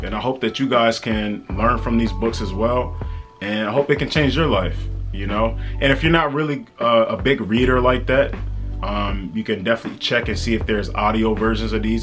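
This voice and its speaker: An American man with a fairly low voice speaks straight to his viewers as a vlogger. His delivery is conversational, earnest and peppered with slang, in the manner of a motivational talk. Soft music plays faintly behind him. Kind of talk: casual motivational book talk to camera.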